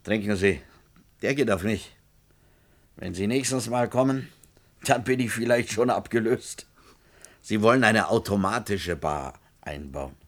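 Low-voiced, obliging bartender. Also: male voice, animated, a little slow, pauses often. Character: obliging, plain-spoken male bartender, calmly unsentimental. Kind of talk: German science-fiction radio play acting.

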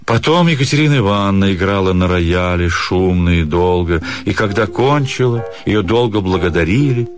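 A man narrating a literary story for radio in a measured, pensive manner. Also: low voice, lively intonation.

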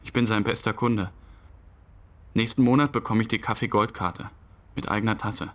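A man's voice: fairly low pitch, fairly even intonation, moderate pace, lightly acted. An actor playing a numb, bewildered bereaved boyfriend, telling his story interview-style in a radio drama. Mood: subdued, wry.

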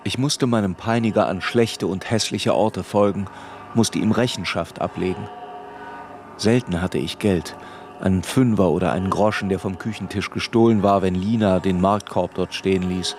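An actor playing a grown man who recalls his tormented boyhood in grave, measured literary narration for a radio play. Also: low-pitched, darkish tone. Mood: haunted, grave.

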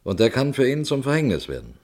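An actor playing a middle-aged police detective who tells the audience about a case in an old radio crime drama, in a measured, explanatory way. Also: male voice, medium pitch, wildly swooping pitch, a little mellow. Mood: explaining. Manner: measured, addresses audience directly. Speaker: narrator of the case.

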